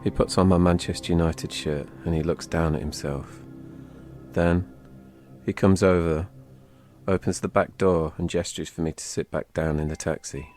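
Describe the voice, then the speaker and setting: A man narrating a dramatic first-person travel story in measured, suspenseful short phrases, as a storytelling podcast host. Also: low voice, fairly even intonation, darkish tone, faint music behind.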